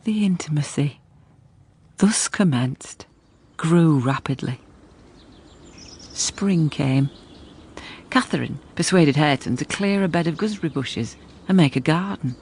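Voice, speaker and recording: Creaky, low-voiced housekeeper narrator. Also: female voice, animated, measured pace, pauses often, a little mellow, a little hiss or hum. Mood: reflective. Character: reflective, level-headed housekeeper telling a gothic tale. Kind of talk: dramatic scripted narration.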